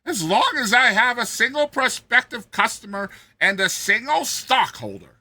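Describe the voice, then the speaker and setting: A man's voice: extremely high pitch, very animated, moderate pace, bright, forceful tone, pitched above the performer's own voice, full character voice. A man voicing an ebullient, blustering company president in a bombastic, declaiming storybook style. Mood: boastful. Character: ebullient, blustering male casket industry tycoon. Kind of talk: dramatized storybook character voice.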